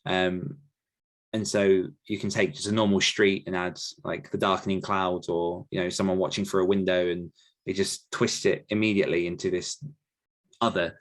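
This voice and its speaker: A man speaking casually and reflectively as an author being interviewed, often pausing to search for his words. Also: sits low, little pitch movement. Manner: thoughtful, halting, searching for words. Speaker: podcast guest author answering questions.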